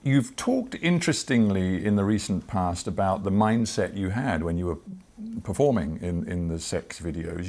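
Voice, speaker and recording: An older man with a British accent conducting a broadcast interview, asking measured, deliberate and probing questions. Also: on the low side, a little mellow. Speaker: television interviewer.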